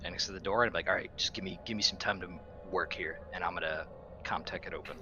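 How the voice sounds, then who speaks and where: Male tabletop player, lower register, brisk playful delivery, distinct music bed. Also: fairly even intonation, muffled recording. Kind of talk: playful in-character play with casual chat. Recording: clear music under the voice.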